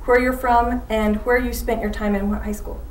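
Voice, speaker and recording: A woman's voice: fairly high pitch, flat delivery, moderate pace, darkish tone. A woman hosting an interview, asking friendly questions and encouraging answers with frequent murmured prompts. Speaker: interviewer host. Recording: echoey room, mic far off, faint hiss.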